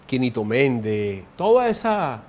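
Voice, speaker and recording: A man's voice: mid-range voice, sing-song, a little slow, slight background noise. A man in his forties with a Colombian Caribbean coastal accent, a guest in an interview who explains things conversationally and often repeats words while he looks for the next one. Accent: Colombian Caribbean coastal Spanish. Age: 40s.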